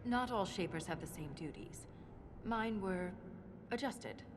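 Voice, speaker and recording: An actress playing a principled, proud dwarven historian in a fantasy video game, speaking in a precise, measured, dry manner. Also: female voice, mid-range voice, expressive, noisy background, natural voice. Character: scholarly female dwarven historian, principled, proud, quietly defiant. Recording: clear game music underneath.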